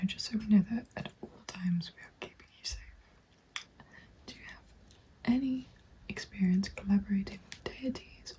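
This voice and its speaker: A young woman in a gentle, encouraging ASMR roleplay, speaking in a soft breathy whisper. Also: medium pitch, a little hiss or hum, low-quality recording. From England. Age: young adult. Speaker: ASMR roleplayer playing a witch recruitment agent. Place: small dim room indoors. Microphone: close microphone. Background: computer keyboard typing.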